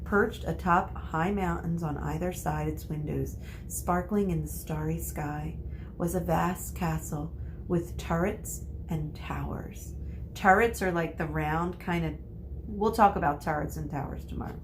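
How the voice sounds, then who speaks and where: A woman teacher reading a children's novel aloud in a deliberate, explanatory way. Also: low-pitched, slight background noise, rough recording. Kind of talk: storybook read-aloud.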